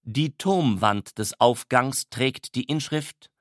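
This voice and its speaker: A man's voice: mid-range voice, slow pace, a bit bright, dry recording, clean studio sound. A man reading scripted documentary-style narration in a formal, matter-of-fact manner.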